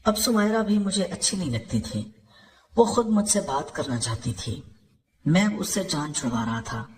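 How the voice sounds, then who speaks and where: A man narrates a scripted story in the first person. His voice sits high for a male speaker and rises and falls in a lively way. A long reverb hangs over every phrase, as in a large hall. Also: normal speed.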